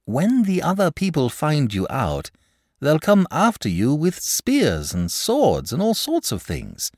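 Man as a frank young boy, lively pitch. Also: a little slow, no echo, clean studio sound, exaggerated voice. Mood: exasperated. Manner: matter-of-fact, polite, earnest. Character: frank, sensible young boy, politely exasperated.

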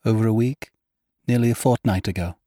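A man's voice: lowish voice, fairly quick, dark tone, no echo, studio quality, voice pushed up, close to an everyday voice. A man reading an audiobook, voicing a troubled young woman who hesitates and grows frightened as she speaks. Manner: hesitant, trembling, rising to alarm. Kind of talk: audiobook character voice.

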